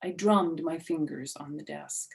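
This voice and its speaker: A woman's voice: sits low, a little slow, gravelly. Woman reading a children's story aloud. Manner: simple explanations, addresses listeners directly. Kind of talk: children's book read-aloud with questions to listeners.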